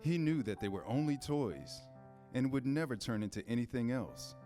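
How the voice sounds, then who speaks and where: A man's voice: mid-range voice, lively intonation, moderate pace, mellow, faint music behind. A man narrating a children's story as scripted reader's theater.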